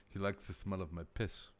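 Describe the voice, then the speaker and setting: Male streamer, low boomy voice, rambling and sweary, close-mic. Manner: rambling, profane, laughs often. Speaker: livestreamer reacting to videos. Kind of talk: casual live-stream chat. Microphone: desk mic close to face.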